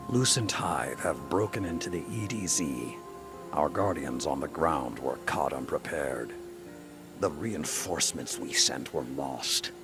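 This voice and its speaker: A battle-hardened older warlord with an extremely deep voice. He gives orders slowly and gravely, stern and commanding, as in a dramatic video game. Music plays clearly behind him. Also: heavily acted.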